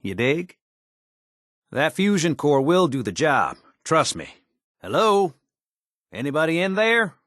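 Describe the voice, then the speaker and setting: An actor playing a folksy, wisecracking American tinkerer in a video game, talking in casual slang. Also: male voice, a little high, sing-song, takes it slowly, pauses often, a bit bright, dry recording, clean studio sound, heavily acted. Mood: eager, persuasive. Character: folksy, wisecracking male tinkerer and scrappy settler ally.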